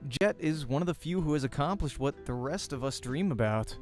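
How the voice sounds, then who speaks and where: A man reading first-person visual novel narration as a voice actor, informal and quick to comment on his slips. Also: on the high side, lively intonation, a little mellow. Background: faint game music.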